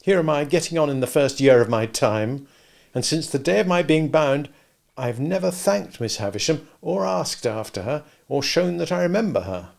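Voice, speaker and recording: A man's voice: a little high, lively intonation, normal speed, gravelly, a little mellow, pitched above the performer's own voice. A man voicing an eager young apprentice speaking earnestly to an older friend.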